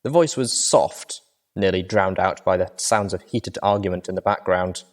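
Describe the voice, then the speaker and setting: Low-voiced guy reading a fanfic audiobook, calm steady pace. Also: normal speed. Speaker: audiobook reader. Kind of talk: fan fiction reading, first-person narration.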